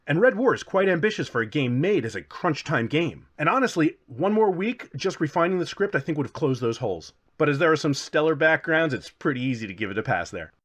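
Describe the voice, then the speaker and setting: Guy doing a jokey game review, talks fast, pitch kinda high and all over the place. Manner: playful, self-deprecating, jokey asides. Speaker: video game reviewer and channel host. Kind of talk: casual scripted review commentary.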